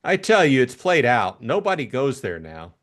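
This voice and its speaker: A man voicing a flustered, indignant young upper-class gentleman in an audiobook, protesting as he talks. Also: medium pitch, big pitch swings, normal speed, close to an everyday voice. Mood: embarrassed, reluctant. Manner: protesting, stammering repetition. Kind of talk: audiobook character acting.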